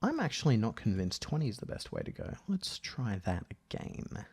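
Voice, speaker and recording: A man narrating a casual software tutorial as its presenter, thinking aloud in a halting way. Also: mid-range voice, huge pitch swings, husky. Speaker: audio editing tutorial presenter.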